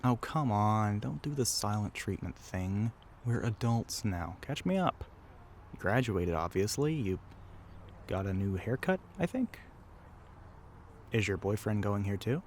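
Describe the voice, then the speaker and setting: A man playing a low-voiced, teasing young tour guide. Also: animated, unhurried, slight background noise. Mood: awkward, wry. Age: young adult. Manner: casual, chatty, teasing, sighs between remarks. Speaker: audio roleplay voice actor. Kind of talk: scripted roleplay addressed to the listener.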